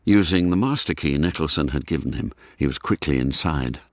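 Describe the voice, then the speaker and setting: A man narrating a spy thriller in a measured way for a radio drama. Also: extremely low pitch, lively intonation, no room sound, clean studio sound. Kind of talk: scripted narration.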